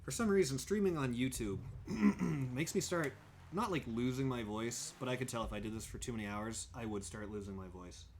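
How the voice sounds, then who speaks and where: A man's voice: medium pitch, normal speed, slight background noise. A young man livestreaming a driving game, chatting casually, thinking aloud and thanking viewers by name. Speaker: streamer. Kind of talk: casual livestream chat while gaming.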